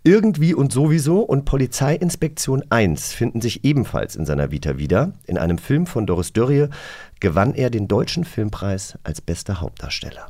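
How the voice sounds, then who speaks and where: A man's voice: medium pitch, very animated, moderate pace, mellow. A man hosting a casual quiz chat as the presenter of a talk show. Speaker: host.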